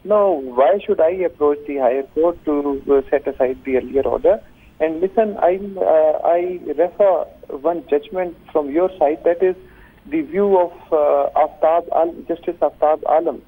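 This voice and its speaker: Pakistani man, high-pitched, on a phone line. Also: normal speed. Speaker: lawyer being interviewed. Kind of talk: live phone interview. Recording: tinny, thin phone line.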